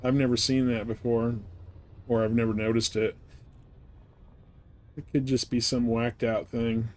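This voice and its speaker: A man's voice: medium pitch, flat delivery, a little slow, darkish tone. A man chatting casually as he live streams a game. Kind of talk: casual gaming stream commentary.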